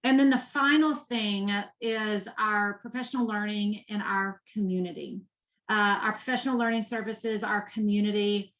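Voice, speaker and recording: A woman's voice: medium pitch, fairly even intonation, normal speed, fairly bright tone. This is a woman with a Texas accent, talking as an interview guest. She speaks with conviction and uses repetition to drive her points home. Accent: Texan. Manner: passionate, emphatic, repeats for emphasis. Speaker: guest, nonprofit education executive.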